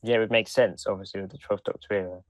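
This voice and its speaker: A man's voice: on the low side, fairly even intonation, rapid-fire, no room sound, studio quality. A man co-hosting a podcast in casual conversation. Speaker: podcast co-host. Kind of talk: casual chat.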